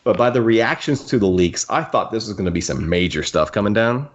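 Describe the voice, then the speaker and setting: A man's voice: lowish voice, animated, fairly quick, dull audio. A man co-hosting a podcast in a casual fan discussion, rambling and interrupting himself as he goes. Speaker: podcast co-host.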